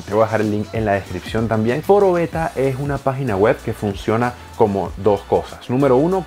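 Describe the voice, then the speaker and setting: A man in his thirties talking directly to the viewer from a home office, animated and gesturing, explaining step by step. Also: fairly low pitch. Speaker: online business youtuber. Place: home office with bookshelves, indoors.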